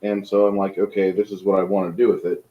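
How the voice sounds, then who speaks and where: A man's voice: deep voice, flat delivery, fast talker. A man running a tabletop game, chatting casually with dry, wry remarks. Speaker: game master. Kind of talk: casual chat on a livestream. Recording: echoey room, lo-fi mic.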